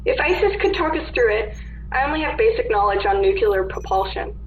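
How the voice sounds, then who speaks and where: A woman's voice: a little high, animated, brisk, natural voice. A woman playing a feisty, sarcastic young engineer in a sci-fi radio play, with a wry, emphatic delivery. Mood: wry, determined. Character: feisty, sarcastic girl engineer on a colony ship. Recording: dull, echoey room recording.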